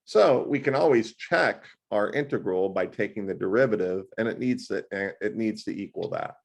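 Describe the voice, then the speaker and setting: A man with a fairly low voice tutors math one-on-one over a video call. He talks loudly and with plenty of animation, and he keeps slipping jokes into the lesson. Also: normal speed, vocal fry. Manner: loud, animated and jokey.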